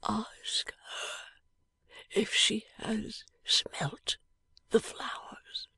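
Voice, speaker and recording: A woman voicing a gravely ill young gentleman, speaking in a faint, breathy, halting whisper. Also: fairly high pitch, steady tone, no echo, pitched above the performer's own voice, heavily acted. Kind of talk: audiobook character voice.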